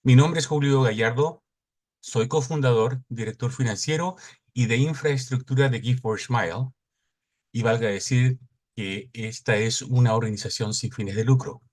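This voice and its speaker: A middle-aged man from Chile, giving a formal welcome speech and reading out a conference agenda in a measured, announcing way. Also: medium pitch, a little mellow. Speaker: conference cofounder and opening speaker.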